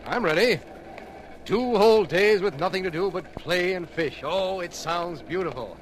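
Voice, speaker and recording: An actor playing a genial, easygoing district attorney in an old-time radio drama. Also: male voice, sits high, animated, normal speed. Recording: noisy old radio recording.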